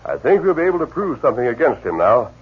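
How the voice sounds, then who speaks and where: A man playing a calm, commanding masked western lawman in a 1950s radio drama, firm and measured, with a husky voice on a tinny old radio recording. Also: medium pitch. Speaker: actor playing the Lone Ranger.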